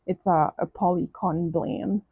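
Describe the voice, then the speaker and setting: A woman's voice: lowish voice, fairly even intonation, moderate pace, warm tone, slightly rough, no room sound, lo-fi sound. A woman with a French accent, French her first language, chatting casually through a craft kit unboxing, gushing and restarting often. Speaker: crafting vlogger.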